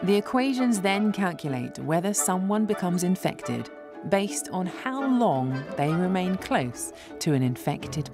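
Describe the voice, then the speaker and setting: A woman presenting a documentary in clear, measured scripted narration. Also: fairly low pitch. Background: background music.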